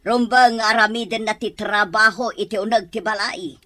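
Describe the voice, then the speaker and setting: A woman's voice: mid-range voice, steady tone, moderate pace, a bit bright, natural voice. A woman with an Ilocano accent playing a harsh, domineering mother-in-law in a radio drama. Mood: scornful. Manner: scornful, domineering.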